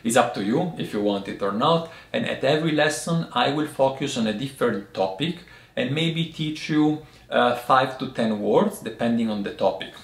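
A man in his thirties with a strong foreign accent, giving a casual video lesson on tea and talking in halting bursts with many restarts. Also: medium pitch, expressive, echoey room. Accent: foreign accent in English. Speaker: tea teacher. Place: small room indoors by a lamp.

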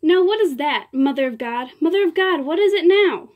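A woman's voice: sits high, expressive, phone-quality sound. A woman reading aloud voices a temperamental young actress who bursts into tearful, angry outbursts. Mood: exasperated. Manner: tearful, pleading, quick to outbursts.

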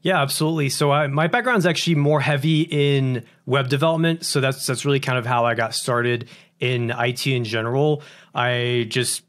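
A man in his thirties from France, a guest founder, telling stories with animated bursts and acted-out dialogue, on a broadcast mic. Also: mid-range voice. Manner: animated, quick bursts, acts out quoted dialogue. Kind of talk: casual interview storytelling. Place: home studio with posters and lamp. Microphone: large broadcast mic on boom arm, over-ear headphones.

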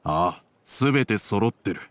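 A man's voice: lowish voice, expressive, normal speed, a little hiss or hum, natural voice. A man voicing a stern, reserved middle-aged merchant father in a video game, curt and formal with worry underneath. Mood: curt, aloof.

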